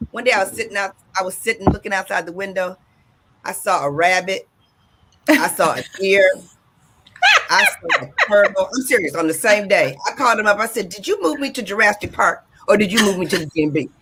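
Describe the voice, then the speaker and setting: A woman's voice: mid-range voice, expressive, brisk, noisy background. A woman from Detroit hosting an upbeat talk radio show, exuberant and sing-song, repeating phrases for emphasis. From Detroit, USA.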